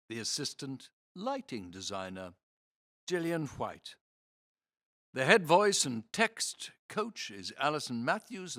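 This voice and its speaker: A man narrates in a theatrical, carefully phrased way, his pitch moving animatedly up and down. He takes his time over each phrase, reading from a script at a slow, deliberate pace. The sound is dry and close. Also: pushed and bright, dry recording. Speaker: reader of an audio theatre programme. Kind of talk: scripted read-aloud narration of programme notes and cast list.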